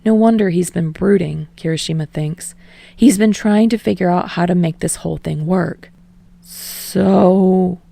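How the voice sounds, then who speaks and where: Mellow woman narrator with vocal fry. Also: fairly low pitch, normal speed, dry recording. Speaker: podfic reader. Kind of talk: scripted narration of fan fiction.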